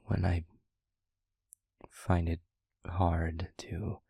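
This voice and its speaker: A man playing a tender, devoted demon lover in an intimate audio roleplay, speaking gently and hesitantly in a husky near-whisper. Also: low voice, little pitch movement, no room sound, heavily acted. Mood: fond, reflective. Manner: gentle, hesitant, slow and deliberate.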